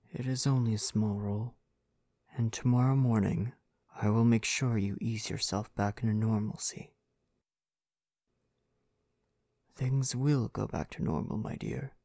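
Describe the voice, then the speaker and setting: A devoted prince home from war, acted by a man in an intimate ASMR roleplay. His voice is low and gravelly, breathy and often sinking to a whisper, recorded close and dry. He speaks soothingly, in formal, old-fashioned phrases, like a fiancé comforting his beloved. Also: dark tone, dry recording. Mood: gently concerned.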